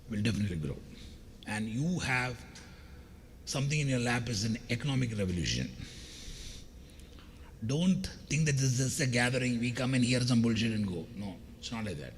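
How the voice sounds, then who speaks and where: A middle-aged man with a scratchy voice, giving a chatty, joking motivational keynote to an audience. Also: mid-range voice. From India. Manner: chatty, anecdotal and joking, addressing the audience directly. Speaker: keynote speaker. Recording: long hall reverb with faint background noise.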